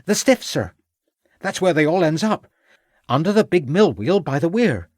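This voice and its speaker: Man voicing a high, cheeky young servant lad. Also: sing-song, moderate pace, darkish tone, no room sound, clean studio sound. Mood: eager, insistent. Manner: colloquial, ungrammatical working-class speech, eager.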